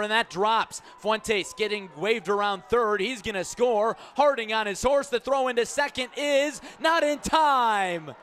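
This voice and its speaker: Guy calling a baseball game on radio, bright forceful voice, a bit high, short punchy phrases. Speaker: baseball play-by-play radio announcer. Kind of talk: live sports commentary.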